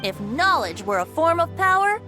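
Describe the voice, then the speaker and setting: A woman's voice: extremely high pitch, sing-song, normal speed, pushed and bright, soft background music, exaggerated voice. A woman voicing a light-hearted young male traveling bard in a video game, airy and eloquent. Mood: admiring, playful. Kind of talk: video game character acting.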